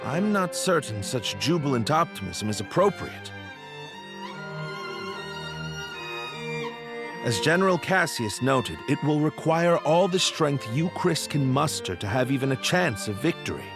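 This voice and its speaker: Formal, sober military strategist over music. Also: male voice, on the high side, big pitch swings. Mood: cautious, formal. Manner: formal, measured, articulate. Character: sober, calculating male royal military strategist. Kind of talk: video game voice acting, formal dialogue.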